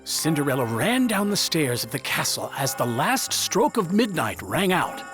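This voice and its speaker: A man narrating a scripted children's fairy tale in an expressive, dramatic storytelling manner. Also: on the high side, moderate pace. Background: music.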